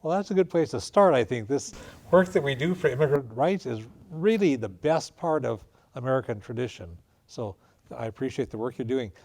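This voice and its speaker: Measured male interview host. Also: mid-range voice, lively intonation, a little mellow. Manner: measured, reads guest introductions. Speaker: radio show host. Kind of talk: hosting interview, reading introductions.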